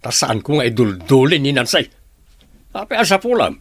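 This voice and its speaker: A man's voice: mid-range voice, very animated, normal speed, a bit bright, slightly rough, dry recording, exaggerated voice. A man playing an irritable, scornful brother-in-law in a radio drama, with a Filipino accent. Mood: irritated, scornful.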